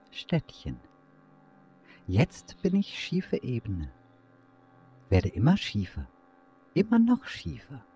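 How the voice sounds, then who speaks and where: A town telling its own story, poetic n halting, pitch jumps all over, radio play with loud music. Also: exaggerated voice. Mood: poetic, brooding. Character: personified town, musing poetic narrator of its own history. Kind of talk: experimental radio play monologue.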